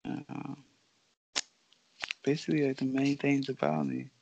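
A woman's voice: a bass voice, level tone, a little slow, gravelly, highs cut off, background noise. A young woman, a professional basketball player from the Bahamas who grew up in Florida, chatting casually and easygoing as a podcast guest. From Bahamas, raised in Florida. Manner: laid-back, easygoing, laughs often.

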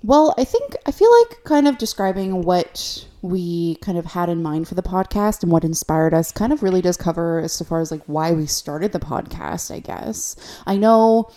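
A young woman with a fairly low voice and a very relaxed, mellow tone. She talks casually as a podcast co-host, in everyday conversational chat. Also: moderate pace, hardly any pauses.

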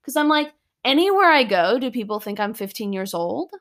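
A woman's voice: on the high side, sing-song, fairly quick, fairly bright tone. An American woman in her twenties, a podcast co-host telling stories in a chatty, sarcastic way. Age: 20s. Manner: chatty storyteller, sarcastic asides. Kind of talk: casual chat.

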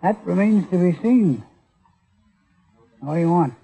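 An actor playing a guarded, defensive suspect in an old radio crime drama, curt and evasive. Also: male voice, high voice, lively intonation, measured pace, dark tone, breathy edge, exaggerated voice, poor audio. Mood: guarded, sardonic. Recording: old radio recording, music behind.

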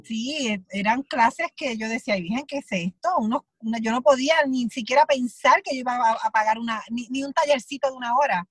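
High-voiced Puerto Rican actress telling stories. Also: female voice, fairly quick, hardly any pauses, husky, dry recording. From Puerto Rico. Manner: animated storytelling, acts out her own thoughts in quotes. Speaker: actress, guest. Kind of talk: conversational interview.

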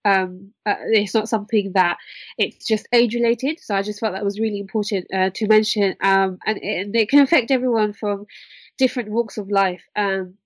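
Casting: young woman, mid-pitched, earnest podcast guest explaining conversationally, close dry recording. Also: fairly even intonation, moderate pace, no room sound, low-quality recording. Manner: earnest, explanatory, hedging with "sort of". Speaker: podcast guest, mental health worker.

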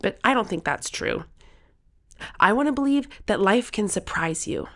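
A woman's voice: mid-range voice, animated, normal speed, fairly bright tone, lightly acted. A woman playing an earnest, eager thirteen-year-old kid with a heartfelt storytelling manner. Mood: wistful, earnest.

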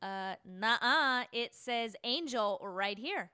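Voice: mid-range voice, expressive, slow pace, pushed and bright, clear, resonant tone. A woman playing a goofy, cheeky, whiny kid in sketch comedy. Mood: teasing. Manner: chanting, teasing, whiny protests. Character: goofy cheeky kid Johnny, bossed around by two girls.

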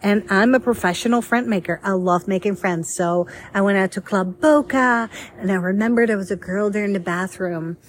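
A middle-aged woman telling her own story, chatty and lively. She speaks at an everyday mid pitch and slips into other voices when she quotes people. A faint hiss of noise sits behind her. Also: normal speed, few pauses. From Netherlands. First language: Dutch. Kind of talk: casual personal storytelling.

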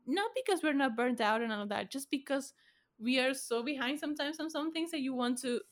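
A woman's voice: sits high, lively intonation, fairly quick. A woman hosting her podcast, chatting casually with guests in a self-deprecating, giggly way. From Argentina. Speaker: podcast host.

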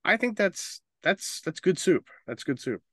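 A man's voice: high-pitched, very animated, unhurried, fairly bright tone, no echo, studio quality. A man co-hosting a casual podcast, talking in a rambling, opinionated way with some swearing. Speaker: podcast co-host. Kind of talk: casual chat about movies and video games.

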